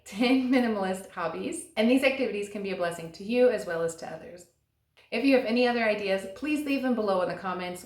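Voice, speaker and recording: A woman in her thirties giving earnest, encouraging advice to camera, slowing down to stress key words. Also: medium pitch. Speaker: vlogger and stay-at-home mom. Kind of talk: scripted advice talk to camera. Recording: echoey room.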